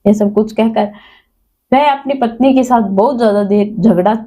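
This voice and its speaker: A woman's voice: fairly high pitch, moderate pace, dark tone. A woman narrating a scripted dramatic story as a storyteller.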